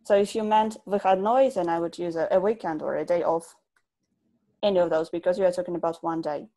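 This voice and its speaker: Patient, mellow-voiced woman tutor. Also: lowish voice, steady tone, brisk. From Ukraine. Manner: patient, clear teacher explanations. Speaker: English tutor. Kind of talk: online language lesson. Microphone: video call.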